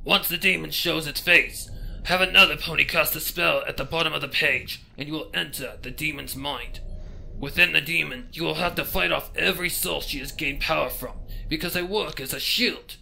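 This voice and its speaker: A man voicing a solemn pony who knows demon lore, speaking gravely and deliberately in a fan fiction reading. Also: a little high, fairly even intonation, bright, forceful tone, a little hiss or hum, heavily acted, poor audio. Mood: solemn, instructing.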